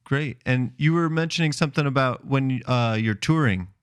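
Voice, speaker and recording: A man's voice: mid-range voice, normal speed, clear, resonant tone, dry recording, studio quality. A man hosting a podcast, introducing guests and asking questions. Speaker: podcast host.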